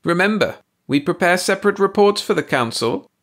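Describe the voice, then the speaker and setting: A man's voice: high-pitched, expressive, a little fast, a bit bright, voice pushed up, full character voice. A man voicing a smug bald male alien who lectures his companion on the law with mocking precision. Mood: smug, amused.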